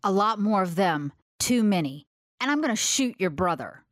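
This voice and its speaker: A woman's voice: mid-range voice, expressive, normal speed, dry recording, clean studio sound, natural voice. A woman voicing a battered, angry woman fighter who speaks in short, irritated bursts. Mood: angry, clipped. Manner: clipped and irritated.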